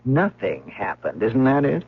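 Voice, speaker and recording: An actor playing a skeptical, weary museum guard in a 1970s radio drama, dismissive in manner. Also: male voice, mid-range voice, big pitch swings, a little slow, breathy edge, full character voice. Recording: muffled old radio broadcast.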